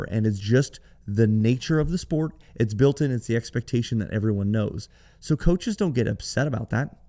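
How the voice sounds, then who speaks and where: A man hosting a podcast alone, talking in a conversational, explanatory way, his voice hoarse and raspy from cheering. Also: medium pitch, warm tone. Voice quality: gravelly, raspy. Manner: conversational, explanatory, with some restarts. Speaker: podcast host. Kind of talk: solo business podcast talk.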